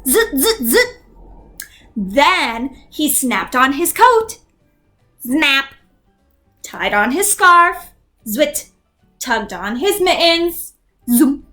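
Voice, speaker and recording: A young woman teacher reading a picture book aloud to young learners, slow and expressive, acting out the sound effects, then asking simple questions. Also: high-pitched, soft background music.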